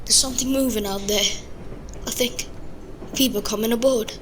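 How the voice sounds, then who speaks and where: A woman voicing a blunt, excitable teenage schoolboy wizard in an audio drama. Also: on the high side, normal speed, lightly acted. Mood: alarmed. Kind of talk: fan audio drama acting. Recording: clearly noisy background.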